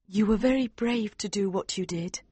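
A man voicing a gentle, dutiful young princess in a storybook reading, speaking softly and earnestly. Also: high voice, moderate pace, husky, no echo, pitched above the performer's own voice. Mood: tender. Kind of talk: audiobook character voice.

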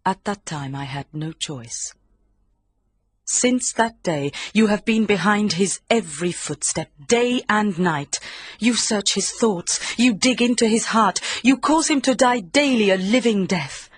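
Anguished, pleading woman, husky and dry. Female actor. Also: medium pitch, lively intonation, a little slow, no echo. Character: anguished, defiant adult woman.

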